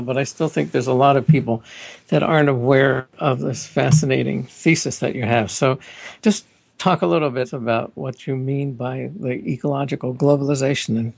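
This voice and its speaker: A man's voice: medium pitch, a little fast, creaky, no room sound. A man hosting a radio interview, asking questions in a chatty, enthusiastic way with frequent uhs.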